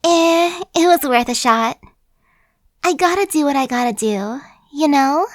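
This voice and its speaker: A woman's voice: sits high, lively intonation, unhurried, no echo, studio quality. Woman playing a sly chatty zombie girl. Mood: persuasive. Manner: coaxing, persuasive, casual chatter. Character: chatty friendly female zombie, coaxing and sly. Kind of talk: ASMR roleplay.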